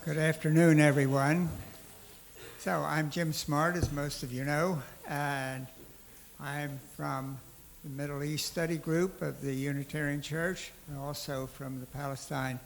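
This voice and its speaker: A man welcoming an audience at a church event, talking slowly and halting with many fillers. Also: on the high side, fairly even intonation, creaky, in a large hall, a little hiss or hum. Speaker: event organizer. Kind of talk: opening remarks at a church event. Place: church hall.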